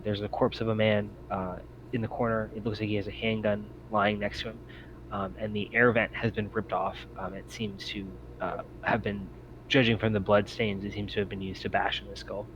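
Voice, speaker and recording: Male game master, lowish voice, halting narration, dull lo-fi sound with faint noise. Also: fairly bright tone.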